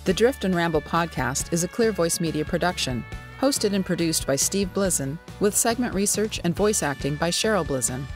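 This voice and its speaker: A woman's voice: lowish voice, expressive, normal speed. A woman reading scripted podcast announcements. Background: guitar music.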